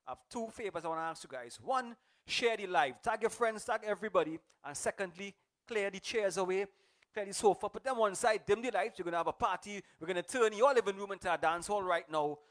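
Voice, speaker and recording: A man's voice: sits high, fairly bright tone. A man, a singer hosting his livestream set, rallying the audience with upbeat repeated calls like a party hype man. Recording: music playing under the voice.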